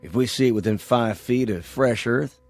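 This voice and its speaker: An American actor playing a calculating, commanding saloon gambler in an old television western, giving deliberate instructions. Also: male voice, medium pitch, a little mellow, lightly acted. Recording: vintage TV soundtrack, faint music underneath.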